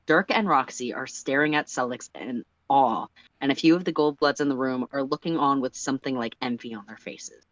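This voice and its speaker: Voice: medium pitch, brisk, fairly bright tone, dry recording. A person reading fan fiction aloud on a livestream in a casual narrating style. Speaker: livestream story reader. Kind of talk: casual story read-aloud.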